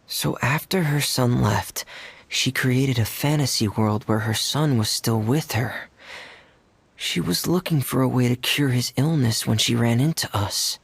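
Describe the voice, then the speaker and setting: Game lead: thoughtful young man, slow and deliberate, very husky and breathy. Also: mid-range voice, no echo. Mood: calm, reasoning. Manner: calm, deliberate. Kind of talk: video game voice acting.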